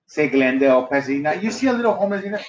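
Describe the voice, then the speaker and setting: A man in his forties chats fast and loosely on a podcast, rambling and cursing casually. His voice sits a little high. The recording is echoey and dull, with a lo-fi sound. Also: expressive, crowd noise. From Los Angeles area. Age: 40s. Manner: rambling, laid-back, swears casually. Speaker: podcast co-host. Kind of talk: casual chat.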